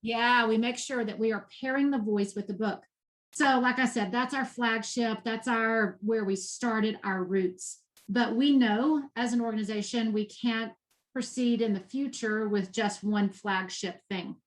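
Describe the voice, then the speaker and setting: A woman's voice: on the high side, a little slow, poor audio. Passionate Texan woman. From Texas. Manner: passionate, emphatic, repeats for emphasis. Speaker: guest, nonprofit education executive. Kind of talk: interview.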